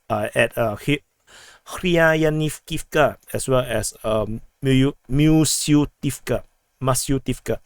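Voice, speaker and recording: Hoarse man giving a war briefing. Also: mid-range voice, no echo. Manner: halting, many fillers and restarts. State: hoarse, losing his voice. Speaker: war analysis host. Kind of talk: map-based military briefing.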